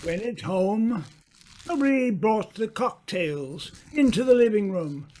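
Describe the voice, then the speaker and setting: A man narrating an old-time radio mystery in a dramatic, deliberate storytelling manner. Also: high-pitched, lively intonation, dark tone, a little hiss or hum.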